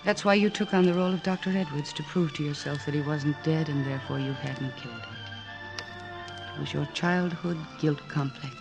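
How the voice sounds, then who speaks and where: Clear music plays behind a devoted woman doctor in a 1940s radio play. She is earnest and insistent, determined to coax the man she loves. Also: deep voice, a little fast. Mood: tender, reassuring. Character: devoted, determined woman doctor coaxing her troubled lover. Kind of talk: 1940s radio drama acting. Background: dramatic music.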